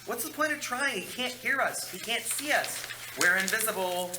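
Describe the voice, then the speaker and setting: A man's voice: high voice, expressive, normal speed. A man playing a sassy, snide male ghost with a campy, sarcastic manner at a comedy table read. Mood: exasperated. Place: large carpeted meeting room. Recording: echoey meeting room, noticeable hiss.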